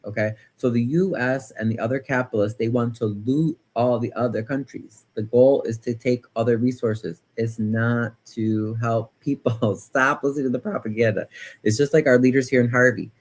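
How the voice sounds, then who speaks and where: An American man with a mid-pitched voice is hosting a livestream. He talks casually and wanders from one thought to the next while reacting to viewer comments. His delivery is animated and opinionated, with emphatic repetition. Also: normal speed. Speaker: livestream host.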